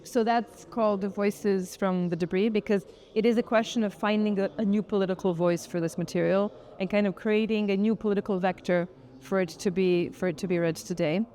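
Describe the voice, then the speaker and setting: A woman with a soft-edged, mellow voice at a medium pitch speaks as an artist in an interview. They explain their project in a reflective, articulate manner, often softening phrases as they think them through. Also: fairly even intonation, brisk. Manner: articulate, thoughtful, frequent "kind of" hedging.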